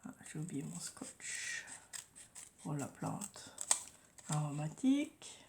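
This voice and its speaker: Slow, gravelly woman with a cold. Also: deep voice, very animated, noisy background. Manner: drops to murmurs and whispers while working, repeats "voilà" often. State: has a head cold. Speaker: craft vlogger. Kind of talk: casual crafting tutorial.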